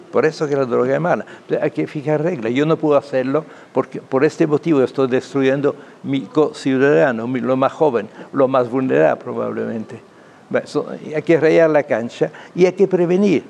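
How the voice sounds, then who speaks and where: Man, gravelly creak, interview guest, halting, emphatic, repetitive, faint background noise. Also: mid-range voice, darkish tone. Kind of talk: spoken interview, explanatory opinion.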